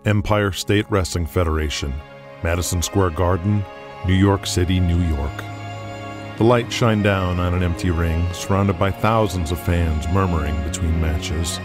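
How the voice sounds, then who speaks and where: A man giving scripted dramatic narration with a measured storytelling manner. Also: sits low, music in the background.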